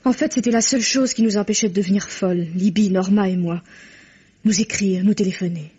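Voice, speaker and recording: A woman's voice: medium pitch, fairly quick, warm tone, slightly rough, highs cut off, natural voice. An actress playing a frank, defensive young woman burdened by guilt, speaking in an earnest, dramatic old radio mystery style. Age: young adult. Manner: earnest, dramatic confessional delivery.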